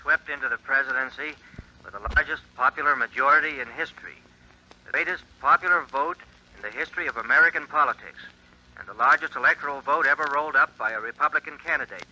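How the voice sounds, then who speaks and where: An actor playing an earnest 1920s radio election announcer, reading returns in a formal, measured way, in a 1940s radio drama. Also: male voice, mid-range voice, pushed and bright. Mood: proclaiming. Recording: dull lo-fi 1940s radio recording.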